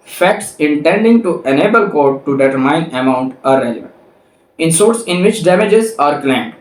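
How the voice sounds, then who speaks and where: Higher-voiced Pakistani man lecturing, echoey and distant. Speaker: law lecturer. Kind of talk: explanatory lecture, reading articles aloud and explaining them. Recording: echoey room, distant microphone.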